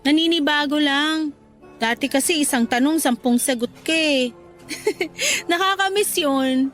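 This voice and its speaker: A woman's voice: sits high, unhurried, a bit bright, faint music behind. A woman playing a sweet, teasing young worker being courted in a Tagalog radio romance, with a coy, coaxing way of talking. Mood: playful teasing.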